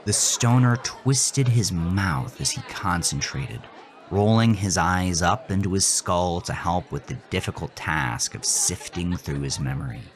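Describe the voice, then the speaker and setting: A man with a low voice narrates scripted horror fiction. He takes it slowly and deliberately, with dramatic intensity, as the show's host. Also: lively intonation, a faint crowd.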